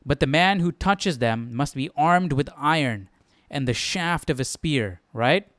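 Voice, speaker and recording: Clear-voiced man casually teaching, dry sound. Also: fairly high pitch, animated, unhurried, fairly bright tone, dry recording. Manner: informal, thinking aloud, often checks with "right?". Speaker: Bible study host. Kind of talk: casual live study commentary.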